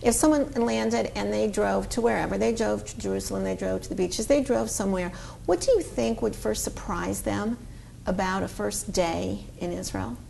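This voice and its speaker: Gravelly-voiced woman talk show host. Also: medium pitch, normal speed, a little mellow, slight background noise. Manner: chatty, teasing, laughs often. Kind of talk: interview hosting.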